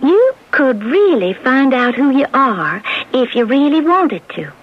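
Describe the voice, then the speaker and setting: A high-voiced, shrewd American diner owner. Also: female voice, very animated, measured pace, heavily acted. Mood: curious, probing. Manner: frank, probing, down-to-earth. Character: kind, shrewd woman running a lunch counter. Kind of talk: radio drama acting. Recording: muffled old radio broadcast.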